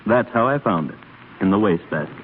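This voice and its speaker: An actor playing a formal, deferential household servant in a 1930s radio drama. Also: male voice, fairly low pitch, animated, fast, lightly acted. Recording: narrow-band, faintly noisy 1930s radio broadcast.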